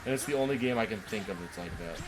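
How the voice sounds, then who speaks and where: A man's voice: on the low side, expressive, fast, over music. A man co-hosting a gaming show, chatting casually and a bit sardonically as he nitpicks the game. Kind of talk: casual chat commentary over video game.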